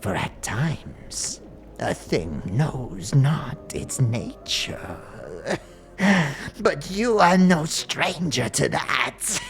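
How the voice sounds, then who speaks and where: Creepy old hag for a fantasy audio drama, super slow n gravelly, sly riddles, whispers and cackles. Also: female voice, deep voice, sing-song, heavily acted. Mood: mocking, secretive. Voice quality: cackling, creaky, breathy whispers. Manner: cryptic, archaic inverted phrasing, lingering and theatrical. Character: ancient cackling night hag, cunning, riddling, possessive witch. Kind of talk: theatrical audio drama acting.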